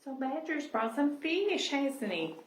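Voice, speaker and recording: A woman reading a children's picture book aloud and pausing to explain with tag questions. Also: high voice, very animated. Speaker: library storyteller. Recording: roomy echo, clear background noise.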